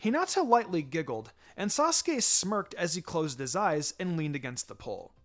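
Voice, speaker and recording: A man reading fan fiction aloud as an expressive story narrator. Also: fairly high pitch. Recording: music behind the voice. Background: background music.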